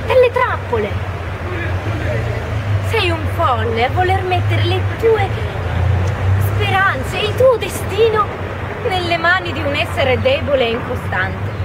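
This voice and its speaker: Woman as high-voiced, gentle, wise sprite. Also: full character voice. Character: gentle, wise male sprite counselling a devoted husband. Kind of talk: live storytelling. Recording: distant mic, loud urban street noise.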